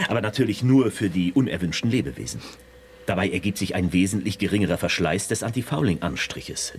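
A man's voice: lowish voice, a little hiss or hum, close to an everyday voice. An actor playing a hesitant male chemist in his forties in a radio drama. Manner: hesitant, with fillers and nervous laughs.

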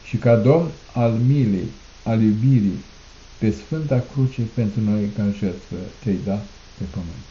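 A man reciting a solemn liturgical prayer in a declamatory manner, in measured phrases with repeated refrains. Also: lowish voice, mellow, a little hiss or hum. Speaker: prayer reader. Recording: muffled, echoey room recording.

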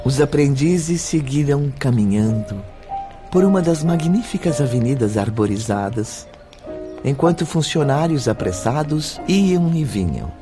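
An actor narrating a solemn spiritual radio drama in a literary, expressive style. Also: male voice, medium pitch, unhurried, lightly acted. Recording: music under the voice.

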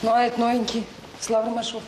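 An actress playing an attentive senior children's home worker, questioning and mildly chiding in naturalistic audio drama dialogue. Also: female voice, fairly high pitch, monotone, fast talker, background noise, lightly acted. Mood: inquiring, chiding. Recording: echoey, distant, with loud music.

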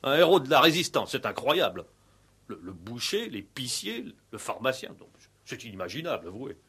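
A man's voice: medium pitch, very animated, normal speed, a bit bright, husky, full character voice. An actor playing a worried village mayor in a radio play, flustered and self-important, stammering and repeating his words. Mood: indignant, worried. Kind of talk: 1960s French radio play acting.

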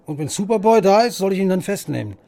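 A man's voice: high-pitched, animated, fast talker, creaky, mellow. An actor playing an eager, defiant deputy arguing with his boss in a radio drama.

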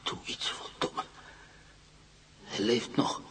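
An actor playing a troubled male patient in a radio play, recalling frightening memories in halting, brooding fragments. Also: fairly bright tone, a little hiss or hum. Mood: urgent. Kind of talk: Dutch-language radio play acting.

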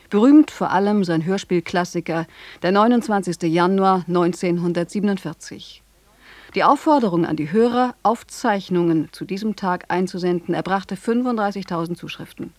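A woman's voice: medium pitch, a little mellow, no echo. A woman presenting a scripted radio introduction in an informative announcer's manner.

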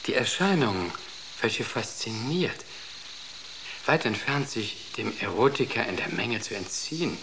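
An actor in a German radio drama playing an entranced artist who speaks with solemn, rapt conviction. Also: male voice, fairly low pitch, animated, a little slow, vocal fry, background noise. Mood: pondering. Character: entranced male artist, serenely devoted to an inner voice.

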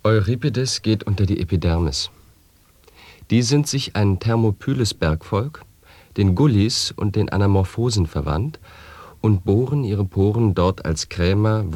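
An actor in a radio play reciting chained nonsense statements in a measured, lecturing way. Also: male voice, on the low side, a little mellow, dry recording, lightly acted. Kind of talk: reciting sound poetry.

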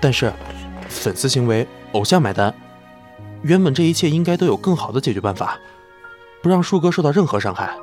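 An earnest young man played by an actor in an audio drama, medium in pitch and hesitant, almost deferential. Soft music sits faintly behind his voice. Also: big pitch swings, brisk, long pauses, lightly acted. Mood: self-blaming. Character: devoted, earnest young male idol, shy and deferential.

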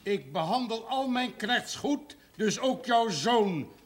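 An actor playing a genteel but firm landowner in a television drama, with a Dutch accent and a curt, defensive manner. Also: male voice, high voice, expressive, slow pace, exaggerated voice. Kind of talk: television period drama acting.

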